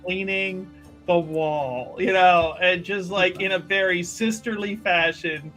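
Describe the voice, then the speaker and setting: High-voiced man narrating a roleplaying game. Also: fairly bright tone, low-quality recording. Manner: halting, repeats phrases for emphasis. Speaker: player in a tabletop roleplaying game. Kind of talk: improvised narration of a character's actions. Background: background music.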